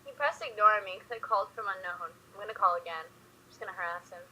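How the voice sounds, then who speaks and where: A woman's voice: mid-range voice, fairly even intonation, fast, pushed and bright, slight background noise, low-quality recording. A young woman hosting a casual livestream, chatting informally as she makes prank calls. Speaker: livestream host.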